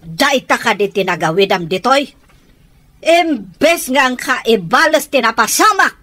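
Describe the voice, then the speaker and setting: An actress playing a proud, vengeful mother in an Ilocano Filipino radio drama, with a husky voice. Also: on the high side, expressive, a little slow, sharp tone, heavily acted. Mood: scornful, goading.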